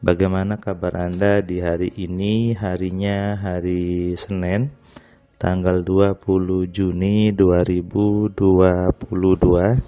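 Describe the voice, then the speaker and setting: A man recording a relaxed spoken diary on his home terrace at night, his voice a little nasal and stuffy, rambling with frequent fillers. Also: low-pitched, takes it slowly. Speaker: motivational audio diarist. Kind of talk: casual spoken diary monologue.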